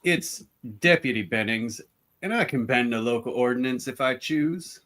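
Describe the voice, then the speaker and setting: A man's voice: mid-range voice, normal speed, a bit bright, close to an everyday voice. A man playing a smug, bullying deputy in an Old West style amateur radio play. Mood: smug, menacing.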